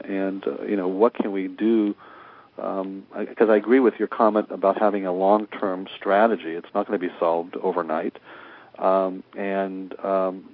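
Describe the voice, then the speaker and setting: A man with a fairly low voice speaks over a narrow, tinny phone connection. He is a talk show caller working through a long, earnest question in hesitant, drawn-out phrases. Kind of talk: call-in question, earnest and rambling. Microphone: telephone. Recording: thin, narrow phone line.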